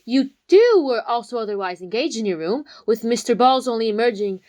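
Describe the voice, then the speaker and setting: A woman playing a confident young detective in an amateur comedy whodunnit, explaining with dramatic pauses. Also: on the high side, animated, dark tone, natural voice. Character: confident young detective laying out a murder case.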